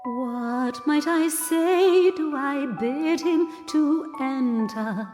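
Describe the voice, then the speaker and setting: Female performer very high mournful singing, extremely slow, Gothic ballad, long reverb, faint music. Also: a little mellow. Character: mournful woman singing to her dead lost love. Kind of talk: slow haunting sung ballad.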